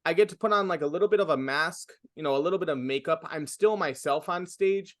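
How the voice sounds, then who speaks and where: Reflective young man, halting speech. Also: fairly high pitch, fairly bright tone, no room sound, clean studio sound. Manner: reflective, halting, full of fillers and restarts. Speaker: comedian. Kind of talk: casual interview.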